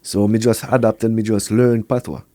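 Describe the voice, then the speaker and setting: A man from Montreal whose first language is Quebec French, speaking English with a strong Jamaican patois flavor in a relaxed podcast interview. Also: fairly low pitch, normal speed, no room sound. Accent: Jamaican patois over a Quebec French base. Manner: laid-back, slips into patois grammar. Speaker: reggae artist guest.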